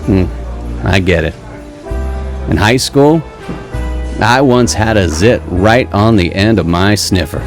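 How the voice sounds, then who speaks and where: A man's voice: lowish voice, slow, pauses often, over music. A man playing a folksy, amiably nosy bartender in a comedic audio play, talking in an easygoing, colloquial way. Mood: sympathetic, prodding. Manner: colloquial, easygoing, hesitant asides.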